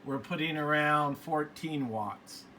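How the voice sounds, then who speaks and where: Slow-talking man giving a casual gear rundown. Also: fairly high pitch, pushed and bright, low-quality recording. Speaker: radio hobbyist video host. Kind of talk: casual product review narration. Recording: faint background noise.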